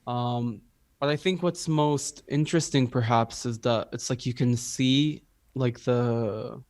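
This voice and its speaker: A man's voice: mid-range voice, steady tone, takes it slowly, a little mellow, no room sound. Young man musician, dry reflective chat. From Libya. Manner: reflective, many "like" fillers. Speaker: musician guest. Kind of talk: casual interview chat.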